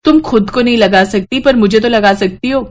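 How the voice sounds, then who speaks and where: A woman voicing a kind, patient young daughter-in-law in a cartoon story, gentle and encouraging. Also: fairly high pitch, moderate pace, natural voice. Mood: friendly, encouraging. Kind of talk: Hindi animated moral-story voice acting.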